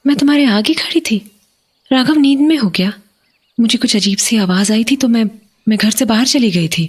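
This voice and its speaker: A woman playing an anxious, tender young wife and mother in an audio horror story, breathy, urgent and questioning. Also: a little high, normal speed, a little mellow. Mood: alarmed.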